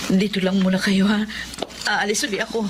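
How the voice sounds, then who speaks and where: A woman's voice: medium pitch, little pitch movement, brisk, background noise, lightly acted. An actress narrating and playing a humble, devoted wife and mother in a radio drama, speaking earnestly and pleadingly. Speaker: narrator and lead character.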